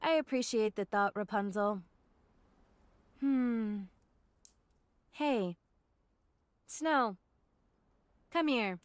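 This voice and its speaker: A woman's voice: fairly high pitch, expressive, drawn-out words, pauses often, clear, resonant tone, dry recording. A woman playing a brash, easygoing young woman fighter in a video game cutscene, with casual, wry, slangy delivery. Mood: dry, casual. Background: music.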